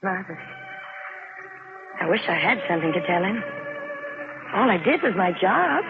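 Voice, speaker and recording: An actress playing a modest, matter-of-fact young Air Force flight nurse in 1950s radio drama style. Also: female voice, mid-range voice, sing-song, moderate pace, vocal fry. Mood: reflective, matter-of-fact. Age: young adult. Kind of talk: 1950s radio drama acting, first-person narration and dialogue. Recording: tinny old 1950s radio recording, loud music.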